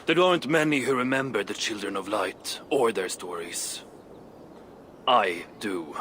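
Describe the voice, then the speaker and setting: A man's voice: lowish voice, animated, a little slow, a bit bright, slight background noise. Proud, blunt dwarf craftsman. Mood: amazed. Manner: blunt, self-important. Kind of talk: video game cutscene acting.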